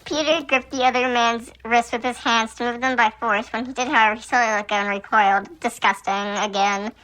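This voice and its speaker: A woman with a high, bright voice reads a story aloud through a voice changer. She is casual and sarcastic, often tripping over her words and dropping in dry asides. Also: fairly even intonation, fairly quick, rough recording. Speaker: fan fiction reader.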